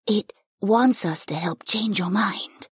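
Breathy, pleading monster goddess voiced by a narrator. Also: female voice, fairly high pitch, lively intonation, a little slow, dry recording, studio quality, voice pushed up, exaggerated voice. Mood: quiet, anguished. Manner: pleading, urgent. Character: female monster goddess, skeletal or horned, pleading, tormented, devoted. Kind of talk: audiobook character voice.

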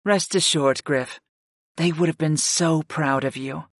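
A man voicing a calm, gentle aunt who speaks softly, in an audiobook. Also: fairly high pitch, measured pace, dry recording, studio quality. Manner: soft-spoken. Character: calm, gentle middle-aged aunt, a mage. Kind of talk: audiobook character voice.

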